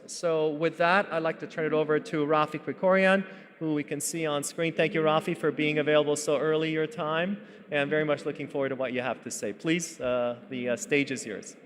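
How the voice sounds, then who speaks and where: Male panel moderator, formal and halting, slightly high voice, long hall reverb. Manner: formal, with frequent "uh" fillers and restarts. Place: large conference hall.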